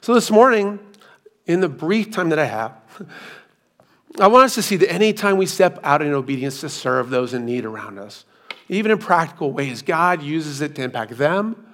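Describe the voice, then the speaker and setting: Hoarse middle-aged man preaching. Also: fairly high pitch, sing-song, normal speed, fairly bright tone. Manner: self-deprecating storytelling with asides. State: hoarse, recovering from lost voice. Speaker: pastor. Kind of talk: sermon. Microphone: hands-free microphone.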